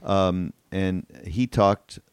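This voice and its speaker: An older man hosting a casual podcast interview, speaking in a halting, rambling way. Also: low voice, smooth, no room sound, clean studio sound. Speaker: podcast co-host.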